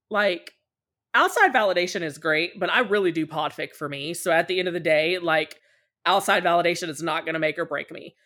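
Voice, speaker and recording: A woman's voice: lowish voice, fairly bright tone. A woman talking casually and candidly as a podcast guest, at length and in a conversational way. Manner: talkative and candid, often acting out short quotes. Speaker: podcast guest, podfic creator.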